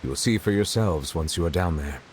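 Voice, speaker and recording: A man voicing a formal, composed male official in a video game, speaking in a measured, courteous way. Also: low-pitched, animated, very noisy.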